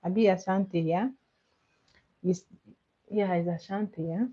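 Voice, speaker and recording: A woman with Igbo as her chats easily with her livestream viewers in a lowish voice, giggling and doubling back on her words. The sound is dull and lo-fi, like a home stream with a basic mic. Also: fairly even intonation, unhurried, warm tone. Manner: chatty, giggly, repeats words. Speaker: livestream host. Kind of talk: casual chat with viewers.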